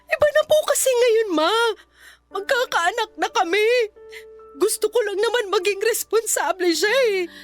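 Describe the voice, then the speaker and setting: A woman's voice: very high-pitched, normal speed, soft background music. A woman playing a hurt, defiant young wife in a Filipino radio drama, speaking emotionally and bluntly with a Filipino accent. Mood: pleading. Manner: emotional, pleading, outspoken.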